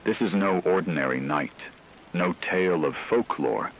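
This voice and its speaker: Male actor as grave radio drama newsman, low voice, solemn formal delivery, noisy rainy background. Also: lively intonation, measured pace. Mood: grave, ominous. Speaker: radio news reporter. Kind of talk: scripted dramatic radio news narration.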